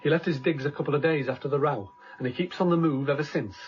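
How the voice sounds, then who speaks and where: Clipped, higher-voiced constable played by a man. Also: quick pace, lightly acted. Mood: sceptical, respectful. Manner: clipped, respectful, questioning. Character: deferential, sceptical male police constable. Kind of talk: broadcast radio crime drama acting. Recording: muffled radio drama recording.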